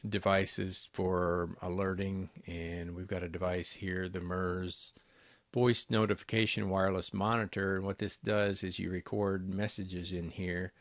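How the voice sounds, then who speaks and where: A man giving a conversational, somewhat halting, unscripted product walkthrough as a salesperson. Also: low-pitched, flat delivery, dry recording. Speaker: intercom company salesperson presenting products. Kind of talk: unscripted product walkthrough over screen recording.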